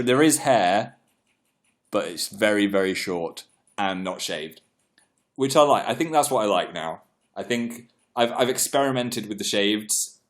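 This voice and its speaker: Man, young adult, congested, chatty, self-deprecating, casual livestream host. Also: on the low side. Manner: chatty, self-deprecating, reads comments aloud. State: congested, clearing throat. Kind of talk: casual livestream chat reading viewer comments.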